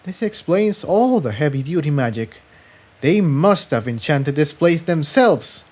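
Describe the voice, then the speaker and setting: A man's voice: a little high, big pitch swings, measured pace, darkish tone, voice pushed up. A man voicing a skilled, grave male pony magician in a story reading, with a hesitant manner that repeats words. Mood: pensive.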